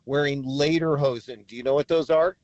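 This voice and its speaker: The American man in his sixties you'd hear telling an old story on a call-in show, fairly high voice, slightly dulled by the phone. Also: steady tone, fairly quick, darkish tone, dry recording, lo-fi sound. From California, USA. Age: 60s. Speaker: radio show caller. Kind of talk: anecdotal storytelling.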